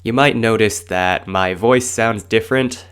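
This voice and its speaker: A man narrating a tabletop game as game master, speaking in a measured, low-key way while congested. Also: fairly bright tone, clear, resonant tone.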